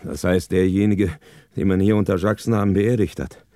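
A man's voice: low-pitched, fairly quick, dry recording, studio quality, natural voice. An actor playing a puzzled, impatient police assistant who grumbles and questions his chief in a radio crime drama. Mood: grumbling. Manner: animated, complaining, questioning. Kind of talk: 1960s German radio crime drama acting.

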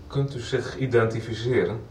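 A man's voice: medium pitch, steady tone, moderate pace, darkish tone, natural voice. An actor playing a wary, dryly suspicious male neighbour in a radio soap, formal in manner, heard in an echoey space from a distance. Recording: echoey, distant, faint background noise.

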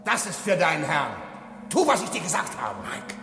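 High-pitched, blustering space engineer. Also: male voice, sing-song, moderate pace, bright, forceful tone. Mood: furious. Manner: blustering, cursing, exclamatory. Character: hot-tempered, sarcastic male space station engineer. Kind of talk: German radio drama acting. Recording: echoey hall with long reverb.

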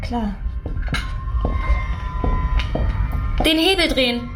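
A calm, coolly composed woman with a slightly high voice. A loud musical score plays beneath her. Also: moderate pace, over music. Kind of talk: radio drama acting.

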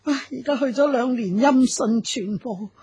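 A woman's voice: fairly high pitch, moderate pace, darkish tone, slightly rough, no room sound. An actress playing an elderly, worried mother-in-law in a Cantonese radio drama, nagging and sighing plaintively. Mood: reproachful.

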